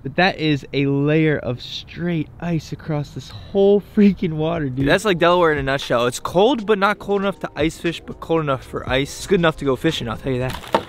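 A man's voice: on the high side, lively intonation, a little fast, noisy background. A young man, chatting casually and rambling as he vlogs to a handheld camera. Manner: chatty, rambling, laughs at himself. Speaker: fishing channel host. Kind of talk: casual vlog talk to camera. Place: store aisle, then outdoor lakeside park. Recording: background music.

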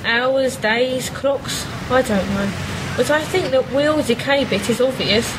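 A woman's voice: high voice, measured pace, background noise. A woman playing a worried but determined amateur detective in a radio play, earnest and polite. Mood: thoughtful.

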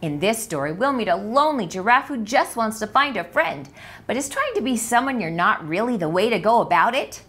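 A woman's voice: on the high side, sing-song, a little fast, a bit bright. A mature woman hosting a storytime, reading aloud expressively and addressing young children directly. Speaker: children's storytime host and reader. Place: small living room with bookshelves.

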